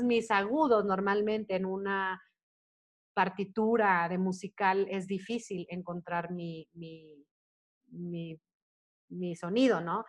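A Mexican woman in her forties speaking casually as an interview guest, telling stories in an animated way and acting out bits of dialogue. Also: mid-range voice. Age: 40s. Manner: animated storytelling, often acting out quoted dialogue. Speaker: guest performer, singer and actress.

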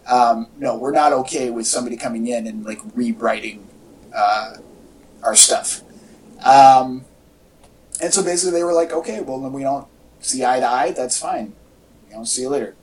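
A man talking casually as a podcast co-host, in a halting, conversational way. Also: medium pitch, darkish tone. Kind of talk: casual chat about movie news. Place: indoor room with echo. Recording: echoey room, distant from mic.

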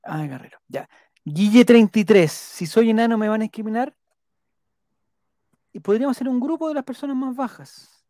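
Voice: medium pitch, normal speed, pauses often, mellow, dry recording. Rambling Chilean live stream host, close dry sound. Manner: rambling, repeats names while jotting them down; playful, joking. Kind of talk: casual chat, reading out viewer comments.

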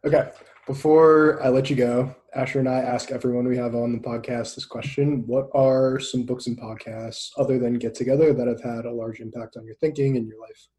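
Chill podcast host guy, mellow warm tone, short yeahs. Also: medium pitch, fairly even intonation, fairly quick. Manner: easygoing, brief affirmations. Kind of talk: casual interview questions.

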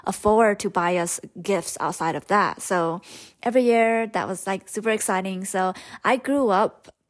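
A young woman who grew up speaking Hmong talks through her life story, loose and unscripted. Her voice sits at an ordinary middle pitch, and she keeps circling back with "um" and "like". It comes across as a personal podcast monologue. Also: normal speed. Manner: candid and rambling, many fillers like "um" and "like". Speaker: podcast host.

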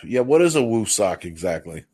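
A man's voice: on the low side, very animated, moderate pace, mellow, slightly rough. A man co-hosting a podcast, chiming in and laughing in casual chat. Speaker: podcast co-host.

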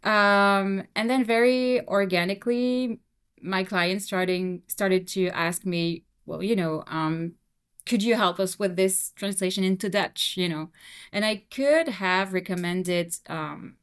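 A young woman whose first language is French, talking relaxed and casually as an interview guest, with many fillers. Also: medium pitch, slow pace, clean tone. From Belgium. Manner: relaxed, frequent fillers and "you know". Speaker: guest translator.

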